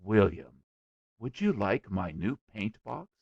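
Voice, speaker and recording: A man's voice: fairly low pitch, very animated, slow pace, vocal fry, darkish tone, slightly rough, no room sound, dull audio, exaggerated voice. A man voicing a tender, naive little girl in a story, asking childlike, earnest questions. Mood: anxious, sympathetic.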